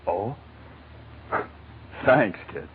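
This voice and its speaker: A man's voice: lowish voice, expressive, very slow, noisy background. An actor playing a protective, kindly but tough man in an old-time radio crime drama, talking firmly and informally. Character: protective, plain-spoken male friend, tough but kindly. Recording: muffled, tinny vintage radio recording.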